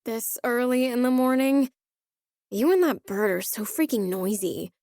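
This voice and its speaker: A cranky irritated girl for a game, highish voice, dry close sound. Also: expressive, unhurried, darkish tone, dry recording. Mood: annoyed. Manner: irritated, blunt. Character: grumpy, irritable young roommate girl.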